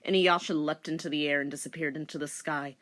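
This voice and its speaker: A woman's voice: low-pitched, fairly even intonation, fast, fairly bright tone. A woman giving a comedic dramatic reading with casual commentary, in a sardonic, giggly manner. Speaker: commentator and reader.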